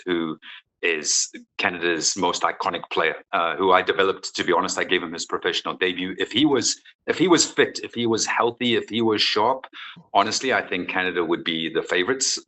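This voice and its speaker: A man's voice: lowish voice, bright, forceful tone, low-quality recording. A middle-aged man from South Africa, a football coach, answering interview questions in an earnest, explanatory way. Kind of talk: conversational interview.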